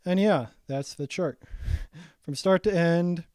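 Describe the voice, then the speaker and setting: A young man walking through his own saxophone arrangement in a casual, halting way, full of ums and restarts, his voice getting raspy. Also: on the high side, darkish tone, no echo, clean studio sound. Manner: halting, many ums and restarts, thinking aloud. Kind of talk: casual screen-share breakdown.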